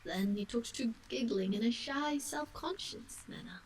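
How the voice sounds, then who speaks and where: A woman tells a bedtime story in a soft, whispery ASMR style. Her slow voice is very melodic and creaky, heard slightly away from the mic. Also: fairly high pitch, huge pitch swings, distant mic, slight background noise, rough recording. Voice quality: soft, breathy, dropping into whispery tones. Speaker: storyteller, live-stream reader.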